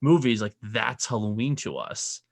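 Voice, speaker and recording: A man from New England hosting a podcast, animated and playful in casual conversation. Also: on the low side, moderate pace, gravelly, no room sound. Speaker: podcast host.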